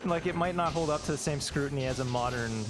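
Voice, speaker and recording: A man's voice: fairly high pitch, fast talker. A man in a casual discussion as a project team member, phrasing his thoughts hesitantly. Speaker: fan translation and dub team member. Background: game music and sound effects.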